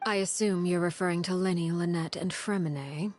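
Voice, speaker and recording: An actress playing a cold, menacing female leader of a secretive house in a video game, speaking formally and with measured poise. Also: lowish voice, darkish tone, lightly acted. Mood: coolly menacing. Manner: measured, formal, veiled threats.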